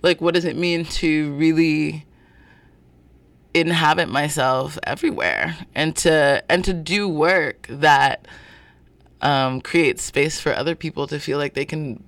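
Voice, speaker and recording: A man being interviewed as a guest about his work, speaking in a thoughtful, searching way with many pauses and fillers. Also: on the high side, gravelly, fairly bright tone, no room sound. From born in North Carolina, family from Zimbabwe. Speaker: guest, performer of a show. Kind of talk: reflective interview talk.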